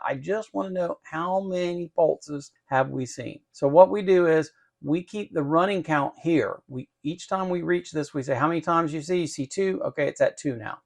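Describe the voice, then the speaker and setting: Gravelly-voiced man explaining technical steps. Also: on the high side. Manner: methodical, repeats phrases for emphasis. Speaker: electronics channel host and programmer. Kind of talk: technical code walkthrough, explaining informally.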